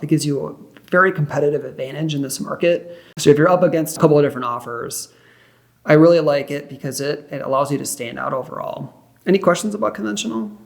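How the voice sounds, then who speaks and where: A young man talks a client through mortgage choices in a cheerful, encouraging tone, dropping in quick affirmations as he goes. His voice sits slightly high and has a gravelly edge. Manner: upbeat, reassuring, explains step by step; frequent affirmations like perfect and great. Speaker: mortgage loan officer. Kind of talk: one-sided mock phone consultation.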